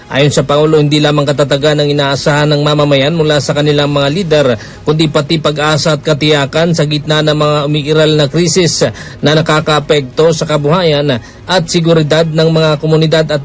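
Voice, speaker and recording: A male radio reporter reading a formal news report in a steady voice, with a few brief hesitations. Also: on the high side. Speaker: field reporter.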